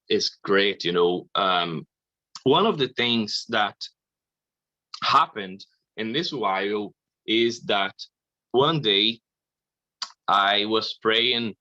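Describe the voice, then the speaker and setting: A man from Brazil, giving a halting, earnest personal faith testimony at a conference. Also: lowish voice, bright, forceful tone, no echo, highs cut off. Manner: slow, halting, earnest, with frequent "you know".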